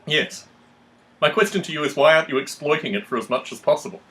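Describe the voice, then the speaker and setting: A man's voice: fairly high pitch, fast talker, a bit bright. A man hosting a discussion, speaking in long, articulate, analytical explanations with formal wording. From Australia. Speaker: podcast host.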